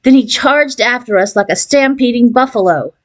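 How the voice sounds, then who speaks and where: A woman's voice: a little high, lively intonation, moderate pace. Woman reading a children's book aloud. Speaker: audiobook reader. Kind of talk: expressive read-aloud of a children's novel.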